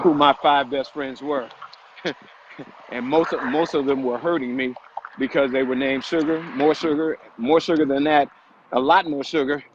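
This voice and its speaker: A man co-hosting a live motivational vlog, speaking emphatically with repeated phrases and rhetorical questions. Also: mid-range voice. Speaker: vlog co-host and fitness motivator. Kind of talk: motivational talk on a live stream. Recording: muffled audio with background noise.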